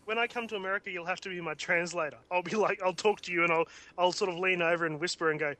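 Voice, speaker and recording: A man's voice: high voice, quick pace, bright, forceful tone. A man with an Australian accent, co-hosting a podcast in casual, rambling, jokey chat. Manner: jokey, rambling, laughs often. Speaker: podcast co-host.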